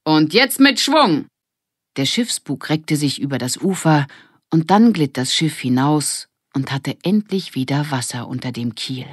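A woman's voice: extremely low pitch, expressive, moderate pace, breathy edge, no echo, studio quality. A woman narrating a children's audiobook in a scripted storytelling style.

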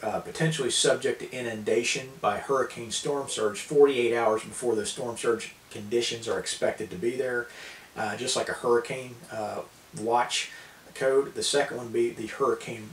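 An American man in his thirties giving an informal explainer to camera, with a halting delivery full of pauses. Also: lowish voice. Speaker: preparedness video host. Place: small indoor room at a table. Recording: roomy, distant mic, hissy background.

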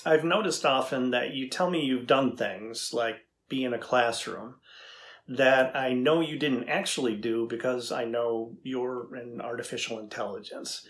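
Man as thoughtful male scientist, middle-aged, average pitch, deliberate, conversational, probing, dramatized interview. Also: natural voice. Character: thoughtful male AI researcher questioning a machine. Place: indoor room with backdrop, seated.

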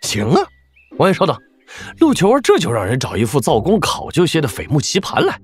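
A heavyset, fawning general from a wuxia audio drama. His voice is husky and sits fairly high, swinging widely as he grovels and muses in folksy fashion. Music plays underneath. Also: huge pitch swings, brisk, exaggerated voice. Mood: folksy, eager. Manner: obsequious, chuckling, sighing, folksy. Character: obese fawning general, self-deprecating, ruthless past, wry.

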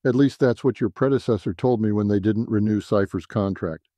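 A man's voice: fairly low pitch, fairly quick, dark tone, dry recording, lightly acted. A man playing an irritable, suspicious private eye who grumbles sarcastically in a noir audio story. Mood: sarcastic.

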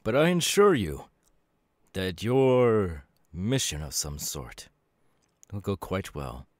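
Demon warrior, male actor, audio roleplay: low-pitched, knowing, calmly menacing, halting dramatic delivery, dry recording. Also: dry recording, heavily acted. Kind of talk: ASMR audio roleplay monologue.

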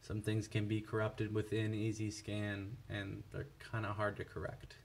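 A man giving a casual, unscripted product comparison in a halting, matter-of-fact way. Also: lowish voice, completely flat delivery, vocal fry, a little hiss or hum. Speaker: product reviewer for a scanner seller.

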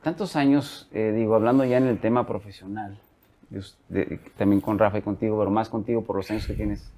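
A man's voice: lowish voice, fairly even intonation, brisk, warm tone. A Mexican man in his mid-forties hosting a podcast, asking curious questions in a loose, rambling way. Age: 40s. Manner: curious, rambling, many restarts. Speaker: podcast host. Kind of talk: casual chat.

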